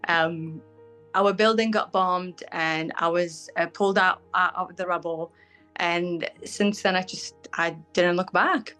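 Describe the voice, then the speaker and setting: A young woman from Syria with a British accent and Arabic as her first language, frankly answering interview questions and often pausing with "um" as she looks for words. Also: lowish voice, fairly even intonation, pushed and bright, faint music behind. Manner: frank, fills pauses with "um", searches for words. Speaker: guest, bridal fashion designer.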